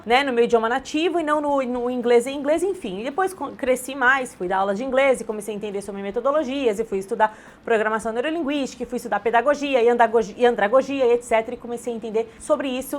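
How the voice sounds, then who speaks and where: A Brazilian woman in her thirties, an English teacher talking straight to her viewers in a chatty, animated storytelling way. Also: high-pitched. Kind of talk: direct-to-camera sales talk.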